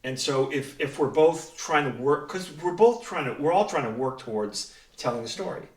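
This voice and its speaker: A man's voice: fairly high pitch, lively intonation, moderate pace. A man talking casually in a group interview as a writer and director, with a joking, self-deprecating manner. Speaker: writer, director and producer of a radio play, interviewee. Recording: echoey room, distant mic.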